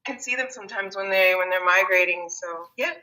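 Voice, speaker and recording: A woman in her thirties talks through an interview in an easy, chatty way, laughing often and tripping over her own restarts. Her voice is very bright and forward, sitting in the middle of the usual range for a woman. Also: medium pitch, a little fast, poor audio. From Germany and the United States. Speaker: guest, Muay Thai fighter. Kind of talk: casual interview conversation.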